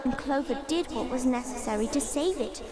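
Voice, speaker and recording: A woman's voice: high voice, fairly quick, close to an everyday voice. A woman playing a dying magical tree seed spirit in a fantasy audio drama, speaking in a formal, grave, earnest way.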